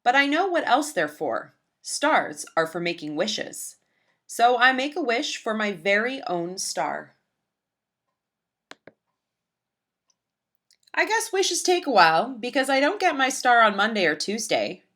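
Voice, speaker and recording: Woman as an earnest shy schoolgirl, animated intonation. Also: fairly high pitch, normal speed. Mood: wistful, earnest. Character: earnest, shy young schoolgirl telling her own story.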